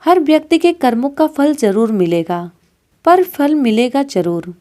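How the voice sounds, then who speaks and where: Woman, high-pitched, slow, lively intonation, scripted moral storytelling, instructive manner. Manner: didactic, addressing listeners as friends.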